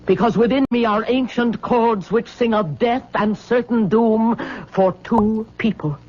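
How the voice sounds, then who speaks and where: Regal, ominous matriarch for vintage radio drama; solemn, formal delivery, muffled lo-fi sound. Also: female voice, on the high side, unhurried, darkish tone. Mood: ominous, unyielding. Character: regal, stern island queen mother, ominous and superstitious. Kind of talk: old radio drama acting.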